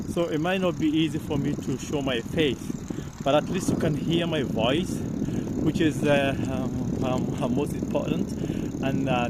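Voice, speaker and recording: A man from Kenya with a Kenyan accent, talking casually as a vlogger in a halting, repetitive way. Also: on the high side, very noisy. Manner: halting, repeats phrases. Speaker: vlogger, host. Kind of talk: casual outdoor vlog talk. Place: outdoors on a bicycle along village paths. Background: loud outdoor ambience.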